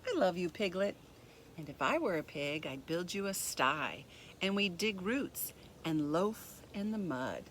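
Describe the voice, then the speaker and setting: A woman reading a picture book aloud as a story time host, speaking deliberately and expressively to young children. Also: fairly low pitch, a little hiss or hum. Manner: deliberate, expressive, addressing young listeners directly.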